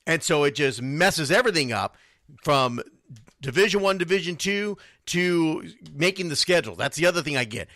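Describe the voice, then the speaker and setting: Guy doing sports radio talk, blunt, punchy, bright voice, kinda high, dry sound. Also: lively intonation, moderate pace, no echo. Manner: blunt, emphatic, repeats phrases for stress. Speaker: youth football radio show host. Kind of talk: informal sports talk monologue.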